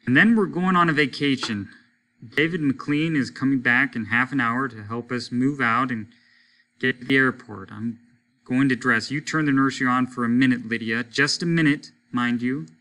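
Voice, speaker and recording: A man's voice: mid-range voice, normal speed, pushed and bright, lightly acted. A man voicing a stern, fed-up middle-aged father who speaks in a firm, exasperated and decisive way. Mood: brisk.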